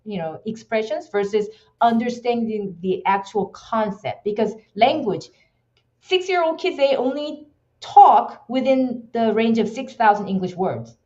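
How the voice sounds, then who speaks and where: A woman's voice: a little high, expressive, measured pace, darkish tone, muffled recording. A woman with a Korean accent, a guest talking passionately in an interview, often restarting her sentences. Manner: emphatic, passionate, frequent restarts. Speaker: podcast guest. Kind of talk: casual interview talk.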